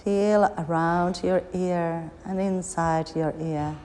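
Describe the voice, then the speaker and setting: A woman with a slightly low voice leads a guided meditation very slowly. She gives soothing instructions in short phrases, leaving long pauses between them. The recording has a long, hall-like reverb. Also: fairly even intonation, darkish tone, a little hiss or hum. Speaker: yoga nidra meditation guide.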